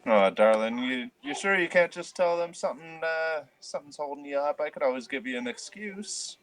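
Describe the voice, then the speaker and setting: A man's voice: on the high side, expressive, normal speed, voice pushed up, natural voice. A man voicing a flirtatious general in a tabletop game, drawling apologies and coaxing with endearments. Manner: drawling, coaxing, calls listener darling.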